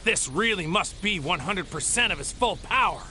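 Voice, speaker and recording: A man's voice: high voice, expressive, moderate pace, bright, forceful tone, a little hiss or hum, exaggerated voice. A man playing a proud, righteous young warrior hero in a dramatic anime video game, with intense delivery. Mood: determined. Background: dramatic music.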